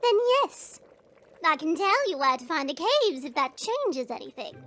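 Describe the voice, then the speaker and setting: A woman playing a sly, theatrical goblin witch in a fantasy audio roleplay, with coy, drawn-out delivery. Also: sits high, big pitch swings, a bit bright, exaggerated voice. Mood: pushy, playful. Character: sly, theatrical female goblin witch, weepy when slighted.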